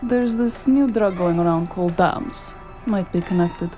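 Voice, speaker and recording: An actress playing a bossy, exasperated female partner of an investigator in a noir audio drama, brisk and teasing. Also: fairly low pitch, dark tone, soft background music, lightly acted. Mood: no-nonsense.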